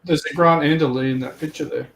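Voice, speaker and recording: A man's voice: a little high, fairly even intonation, fast, gravelly, poor audio. A man on a livestream panel, chatting casually about a hobby.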